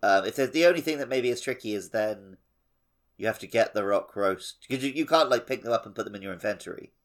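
A man's voice: fairly low pitch, fast talker. A man co-hosting a podcast, chatting casually and jokily about video games. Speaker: podcast co-host.

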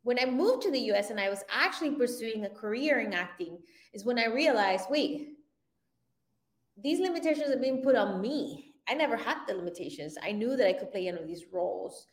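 A woman who grew up in Venezuela speaks as a filmmaker guest in a relaxed interview. She talks in an animated, enthusiastic way and laughs often, with a fairly high voice. The recording carries a noticeable roomy echo. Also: fairly quick. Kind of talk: casual conversational interview. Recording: roomy echoey sound.

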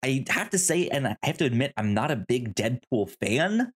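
A podcast co-host chatting casually about reviews, often repeating and restarting words in a halting way. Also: medium pitch, big pitch swings, breathy edge.

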